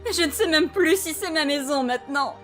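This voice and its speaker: Actress as resentful teen demon daughter, animated dub, sulky, wounded, high voice. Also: female voice, fairly quick, faint music behind. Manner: sulky, hurt. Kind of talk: animated series fan dub.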